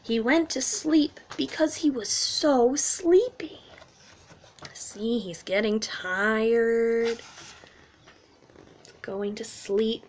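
A woman with a high voice gives a storytime read-aloud for young children. She takes her time and explains things simply, in the expressive way of a children's teacher. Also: slight background noise. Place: small room at home, indoors.

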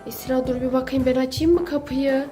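Very high-pitched, theatrical woman. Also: fairly even intonation, fairly quick, over loud music. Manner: theatrical, exclamatory, repeats phrases. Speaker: mother playing in a children's video. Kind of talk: children's pretend-play skit.

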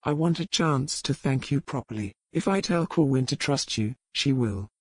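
Stubborn, weakened young gentleman, played by a man, dry sound. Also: mid-range voice, expressive, moderate pace, breathy edge, no room sound. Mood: earnest. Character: weakened, stubborn, trusting male invalid traveller. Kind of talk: audiobook character dialogue.

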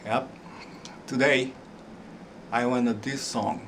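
A middle-aged man speaking slow, halting English with fillers and restarts, introducing a song from his living room at home. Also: mid-range voice, fairly even intonation, a bit bright, a little hiss or hum. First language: not Tagalog. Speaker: ukulele vlogger. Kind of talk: casual spoken intro to a song. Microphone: camera in front at close range. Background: ukulele playing.

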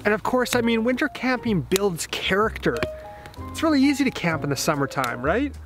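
A man's voice: high-pitched, very animated, moderate pace, music in the background. Upbeat chatty young man vlogging. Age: 20s. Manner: chatty, upbeat, laughs easily, thinks aloud. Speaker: RV travel vlogger. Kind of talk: casual vlog to camera. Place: snowy campground outdoors and inside a small truck camper. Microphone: handheld camera at arm's length.

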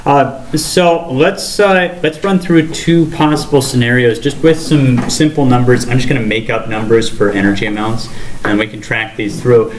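A man's voice: medium pitch, moderate pace. A young man teaching a physics lesson at a whiteboard, questioning students as he gestures and explains. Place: classroom with whiteboard, indoors. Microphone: far camera across the room. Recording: echoey classroom, faint noise.

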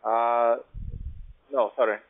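A man's voice: medium pitch, flat delivery, slow. A man working as a cold caller, speaking hesitantly and apologetically. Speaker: cold caller for trading firm. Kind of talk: scripted call-centre talk, then apologetic. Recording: tinny phone line.

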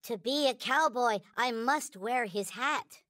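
A man voicing a small, naive, reverent toy space alien in a video game, with a high childlike cartoon voice and solemn, stilted, formal phrasing. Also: takes it slowly, bright, forceful tone, dry recording, clean studio sound, heavily acted. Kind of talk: video game character voice lines.

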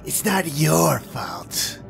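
A courteous, unhurried vampire voiced by a man, thin and dry with a gravelly low edge. He speaks slowly and with polite menace, his pitch rising and falling, and a music bed plays underneath. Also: sing-song, exaggerated voice. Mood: gentle. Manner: slow, measured and politely menacing. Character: calm, courteous male vampire, old-world and unhurried. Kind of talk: fan audio drama acting.

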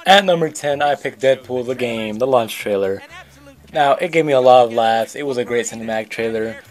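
A man's voice: mid-range voice, brisk, soft background music. A man giving casual, informal and opinionated commentary as the host of a video game countdown.

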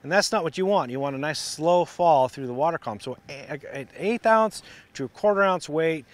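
A middle-aged man giving a relaxed, practical fishing lesson on a lakeshore, recorded on a lapel mic. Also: on the high side, fairly quick. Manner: relaxed, practical explaining with restarts. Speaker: fishing instructor. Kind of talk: outdoor how-to demonstration. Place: outdoors on a lakeshore.

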